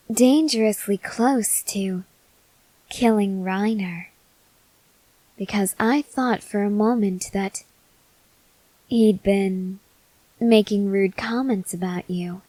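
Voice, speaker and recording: Female vampire lover for ASMR roleplay, played by a woman: possessive, softly threatening, unhurried delivery with whispered touches, dry close-mic sound. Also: medium pitch, no echo, low-quality recording. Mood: coolly possessive. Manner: slow, measured, intimate, with breathy whispered moments. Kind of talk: ASMR roleplay acting, addressed to the listener.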